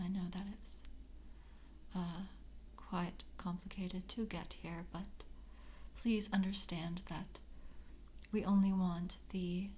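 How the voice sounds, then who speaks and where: A woman voicing a polite, hungry, slyly predatory vampire coven screener in a soft ASMR roleplay, whispering and hesitant, with formal, coaxing words. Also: mid-range voice, a little hiss or hum, close to an everyday voice. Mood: soothing, welcoming.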